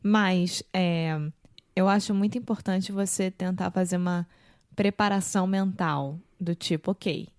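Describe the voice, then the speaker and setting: Carioca woman with a low, dry voice. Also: measured pace, no echo. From Brazil. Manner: relaxed and explanatory, talks things through. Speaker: podcast co-host. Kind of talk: casual conversation.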